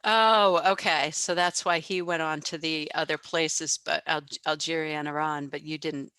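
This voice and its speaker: A woman's voice: low voice, steady tone, normal speed, bright, forceful tone, clean tone, no echo. A woman hosting an interview who asks curious follow-up questions. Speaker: host.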